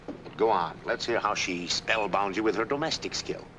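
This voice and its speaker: A man's voice: normal speed, sharp tone, low-quality recording. An actor playing a cynical, worldly older man with a sardonic, needling manner in a 1940s Hollywood film. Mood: sardonic, skeptical. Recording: old film soundtrack, vehicle-like rumble.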